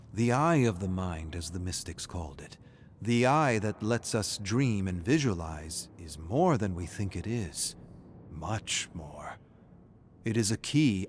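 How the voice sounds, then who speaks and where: A man narrating a scripted horror story in a dramatic style, with noise behind him. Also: on the low side.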